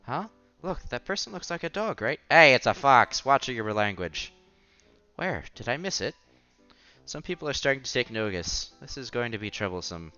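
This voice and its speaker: A man's voice: mid-range voice, big pitch swings, normal speed, smooth, muffled recording. A man reading a visual novel aloud on a headset mic, acting out the dialogue and adding offhand comments in a casual way. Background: soft music.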